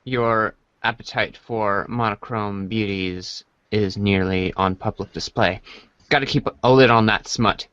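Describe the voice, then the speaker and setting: A man's voice: on the low side, a little slow, a bit bright, clean tone, no echo, lo-fi sound. A man reading a comic story aloud as part of a podcast reading group. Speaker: podcast reader. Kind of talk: dramatic reading of a webcomic aloud.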